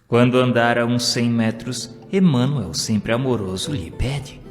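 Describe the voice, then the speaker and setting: A man with a Brazilian accent reading devotional stories and poetry as a narrator, in a solemn, expressive, declamatory way. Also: mid-range voice, a little slow. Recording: long reverb, guitar music behind. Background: plucked guitar music.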